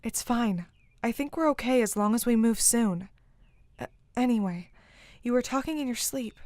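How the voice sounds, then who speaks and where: A determined young heroine, acted by a woman in a fantasy audio drama. Her voice sits fairly high, and she speaks with blunt, protective firmness. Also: moderate pace, a little mellow, no echo, natural voice. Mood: reassuring.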